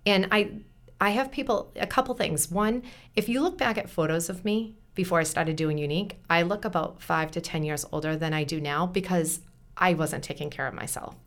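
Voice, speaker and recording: A woman's voice: lowish voice, normal speed, a bit bright. A woman in her forties with a Boston-area accent, chatting earnestly as a podcast guest and giving encouraging, motivational advice. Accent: Boston-area American. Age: 40s. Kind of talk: casual chat.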